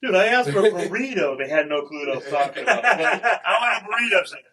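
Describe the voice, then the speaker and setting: A man's voice: sits high, animated, quick pace, far from the mic, a crowd in the background. A man in a band, chatting casually with a playful, joking manner. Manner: playful, jokey. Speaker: band member. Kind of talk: casual chat. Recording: voice picked up from across a room.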